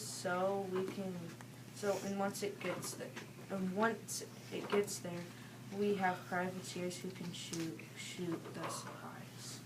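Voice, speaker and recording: Slow, flat young female student debater. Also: medium pitch. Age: child or young student. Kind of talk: classroom debate argument. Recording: clearly noisy room.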